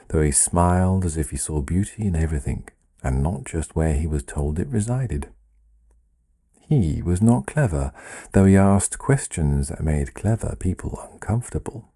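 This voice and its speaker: A man reading a literary fairy tale in a measured, dryly wry manner with deliberate pauses. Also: sits low, mellow, husky. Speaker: storyteller reading own written fable.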